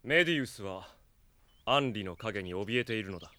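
An actor playing a solemn, brooding swordsman in a Japanese radio drama, quiet and grave. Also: on the low side, expressive, a little fast, slight background noise. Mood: grave, ominous.

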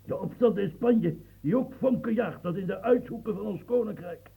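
A man's voice: sits high, moderate pace, warm tone, exaggerated voice. An actor playing an aging French statesman near death, feverish and rambling, stammering as he talks. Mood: feverish ranting. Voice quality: gravelly, stammering. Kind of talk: radio play acting. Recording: tinny lo-fi old radio recording.

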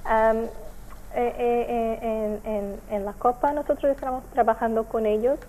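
A woman with a Portuguese accent and a soft, mellow, fairly high voice explains her organization's work in an interview. She speaks carefully and haltingly, searching for words as a native Portuguese speaker. Light noise sits behind her. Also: fairly even intonation, poor audio. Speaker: NGO representative being interviewed. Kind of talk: explanatory radio interview answers.

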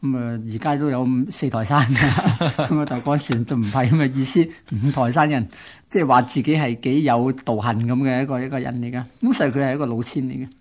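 Hong Kong Cantonese man, mid-pitched storyteller. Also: fairly even intonation, fast talker. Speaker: radio co-host. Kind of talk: conversational storytelling of local history.

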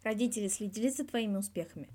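Woman as a mellow, probing male interviewer. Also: mid-range voice, racing, close to an everyday voice. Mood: curious. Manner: direct, brief questions. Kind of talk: scripted interview in a fan fiction audio reading.

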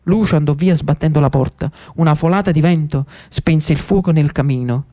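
A man with a Neapolitan accent narrating theatrically as a storyteller-actor, in a dramatic, deliberate way. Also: a little high, warm tone.

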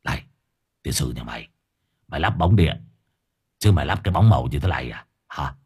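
A man doing a gruff, cursing sidekick. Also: rumbling low, expressive, fairly quick, a little mellow, voice pushed down, exaggerated voice. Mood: angry. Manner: gruff, coarse, grumbling. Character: gruff crude male sidekick, coarse and cursing.

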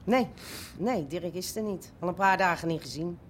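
A woman's voice: lowish voice, moderate pace, a little hiss or hum, natural voice. A woman playing a guarded, dryly evasive woman in a radio drama, giving curt answers. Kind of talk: naturalistic radio drama acting.

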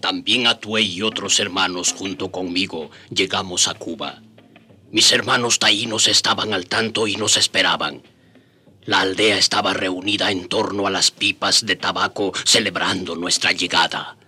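A man's voice: sits low, steady tone, moderate pace, pushed and bright, faint music behind. An actor narrating a radio drama as a dignified Taíno man recounting his people's history in a solemn storytelling manner. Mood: reflective.

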